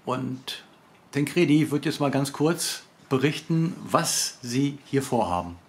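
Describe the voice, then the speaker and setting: A man's voice: medium pitch, expressive, slow pace. A man interviewing someone informally for a travel vlog, asking questions and introducing the guest.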